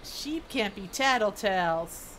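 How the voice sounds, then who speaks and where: A woman's voice: medium pitch, expressive, takes it slowly, a bit bright, slight background noise, rough recording. A woman streamer giving casual, chatty live commentary on a video game, reacting aloud and reading bits of quest text.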